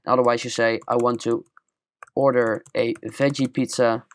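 A young man with a Dutch accent walking through a screen-recorded software tutorial step by step, in a plain explaining manner. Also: mid-range voice, little pitch movement, moderate pace, slight background noise. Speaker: tutorial presenter.

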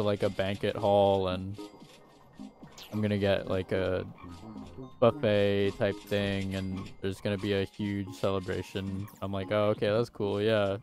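A man's voice: fairly low pitch, darkish tone. A man in his twenties chatting casually on a game livestream through a headset mic, rambling and laughing at himself. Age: 20s. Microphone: headset mic at desk.

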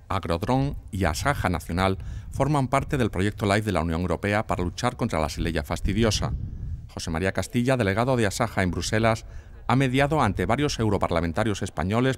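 A man's voice: fairly low pitch, a little hiss or hum. A man with a Spanish accent from Spain reading scripted TV magazine narration as a reporter.

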